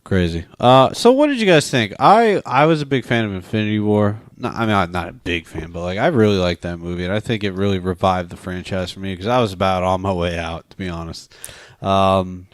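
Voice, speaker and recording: A man with a fairly low voice hosts a casual film chat show. He teases and swears freely as he talks. The sound is dry, with hardly any room on it. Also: brisk, no room sound. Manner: profane, teasing. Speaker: podcast host. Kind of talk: casual movie review chat.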